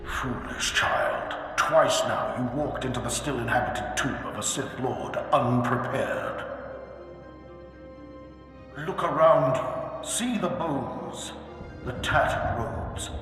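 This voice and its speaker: An actor voicing a stern, ancient Sith ancestor ghost in a video game, grave and imperious, with archaic phrasing. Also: male voice, medium pitch, takes it slowly, sharp tone. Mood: scolding, stern. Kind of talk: dramatic video game acting. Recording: heavy echoing reverb over music.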